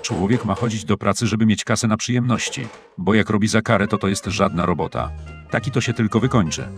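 A man voicing a calm, fatalistic, scheming handyman in a comic cartoon, speaking in a matter-of-fact deadpan. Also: fairly low pitch, fairly quick, close to an everyday voice. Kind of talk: dubbed comic cartoon dialogue in Polish. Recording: music playing behind the voice. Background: music.

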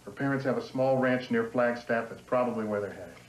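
An actor playing a matter-of-fact state patrol officer in a TV movie drama. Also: male voice, mid-range voice, brisk, natural voice, low-quality recording. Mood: businesslike. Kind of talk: 1990s TV movie drama acting.

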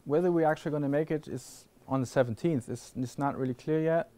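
A man's voice: fairly high pitch, fast, mellow. Man answering audience questions, hesitant. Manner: thoughtful, many restarts and fillers. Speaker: panel speaker. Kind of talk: conference Q&A answers. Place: classroom lecture hall with audience.

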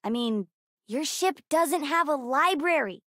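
A bright, bookish young girl for a sci-fi video game. Her voice is very high, with an eager, earnest, chatty way of talking. The sound is close and dry, with no room on it. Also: expressive, unhurried, no room sound, exaggerated voice. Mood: excited, upbeat. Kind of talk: scripted video game voice lines.

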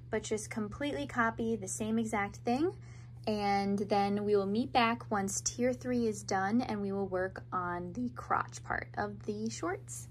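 A woman's voice: mid-range voice, a little hiss or hum. A young woman with a stuffy, congested nose from a cold, chatting through a crochet tutorial in an upbeat, casual way. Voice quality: nasal, congested. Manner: chatty, upbeat, talks over her hands-on work.

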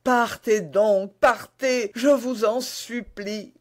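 A man's voice: high-pitched, steady tone, slow, darkish tone, pitched above the performer's own voice. A man voicing an anguished, dignified queen torn by forbidden love, agitated and imploring, in a historical romance audiobook. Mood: desperate, imploring.

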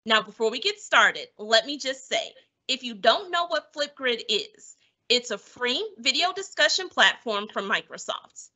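Woman, thirties, event host, raised pitch, very bright forceful tone, scripted welcome. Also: expressive, unhurried. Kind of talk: upbeat scripted introduction.

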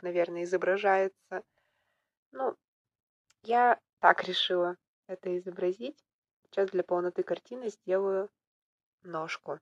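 Slightly hoarse young woman, low and dry. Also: no room sound, lo-fi sound. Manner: relaxed, self-ironic, chatty. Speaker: vlogger, polymer clay crafter. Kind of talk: casual craft tutorial narration. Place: indoors at home, at a table.

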